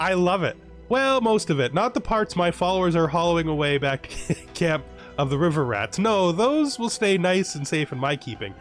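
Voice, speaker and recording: A man hosting a strategy game let's play, giving dramatic readings of the game's text and then breaking into casual asides. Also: high voice. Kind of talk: game roleplay commentary.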